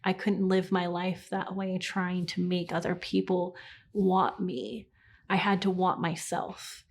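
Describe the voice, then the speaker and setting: A woman in her thirties, speaking earnestly and in a measured way in an interview. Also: on the low side, level tone. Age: 30s. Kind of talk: reflective interview. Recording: faint music underneath.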